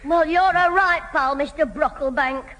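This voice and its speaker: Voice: high-pitched, moderate pace, fairly bright tone. An adult performer playing a cheeky, scheming Northern English schoolboy in a British radio sitcom from 1970, mock-innocent and full of smart answers. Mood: cheeky protest. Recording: noisy old radio recording, echoey hall, audience.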